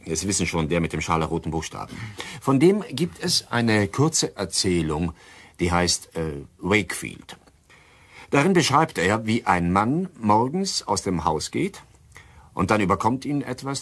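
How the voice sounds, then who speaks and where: An actor playing a bookish doctor who muses hesitantly as an amateur sleuth in a German crime radio drama. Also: male voice, sits low, big pitch swings, unhurried, fairly bright tone, breathy edge, full character voice. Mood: musing. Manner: hesitant, musing, storytelling.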